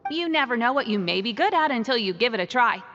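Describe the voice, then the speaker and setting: A woman's voice: on the high side, lively intonation, quick pace, fairly bright tone, in a large hall, lo-fi sound, slight background noise. An actress playing a friendly, content female prisoner in a video game, explaining things in an easygoing way. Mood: content, reassuring. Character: friendly, content female prisoner and club member tidying books. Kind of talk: video game dialogue acting.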